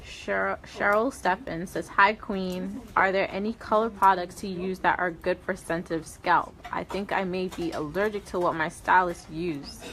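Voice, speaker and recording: Woman reading out comments, lowish voice, matter of fact. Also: steady tone, normal speed, hardly any pauses, a bit bright. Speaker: assistant reading viewer comments.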